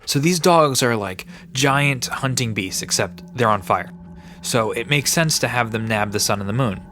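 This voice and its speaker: A man's voice: mid-range voice, lively intonation, normal speed, pushed and bright, slight background noise. A man playing a laid-back, bookish park resident in an audio drama, casually explaining myths with a dry manner. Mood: storytelling. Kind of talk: conversational audio drama storytelling.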